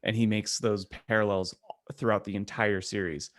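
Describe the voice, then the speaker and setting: A man's voice: fairly low pitch, fairly even intonation, moderate pace, no echo, studio quality. A man co-hosting a podcast, chatting casually and conversationally about books with friends. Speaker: podcast co-host. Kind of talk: casual book-club podcast chat.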